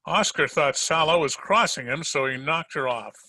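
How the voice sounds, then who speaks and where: An actor playing a tough, hard-boiled private detective in 1940s radio drama style, sardonic and slangy. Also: male voice, fairly high pitch, normal speed, pushed and bright. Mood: cool, accusing.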